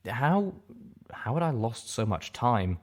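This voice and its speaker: A man's voice: medium pitch, big pitch swings, slow pace. A man reading a first-person story aloud as dramatic scripted narration. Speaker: audiobook reader.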